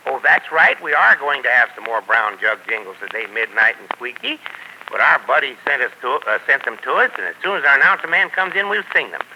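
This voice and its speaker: A man's voice: mid-range voice, lively intonation, a little fast. A man hosting and narrating a 1940s children's radio show in a folksy, chatty manner, on a noisy old broadcast.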